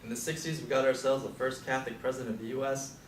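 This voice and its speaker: Wry old male Beat hipster man acting, mid-pitched, opinionated, declaiming on stage in an echoey room. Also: flat delivery, quick pace, natural voice. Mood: earnest. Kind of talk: stage play scene reading. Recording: echoey room from far off, faint noise.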